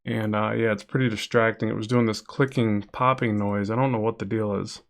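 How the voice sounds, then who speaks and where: A man's voice: lowish voice, fairly even intonation, a little fast, vocal fry. A man with a nasal voice chatting casually with viewers while drawing on a live stream, rambling and reading chat aloud. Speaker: comic artist streaming a drawing session.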